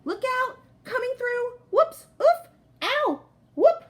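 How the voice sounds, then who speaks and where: A woman's voice: extremely high pitch, sing-song, takes it slowly, pitched above the performer's own voice, full character voice. A woman voicing a clumsy, flustered storybook potato character with exclamatory yelps. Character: couch potato stumbling clumsily through the dark, cartoonish.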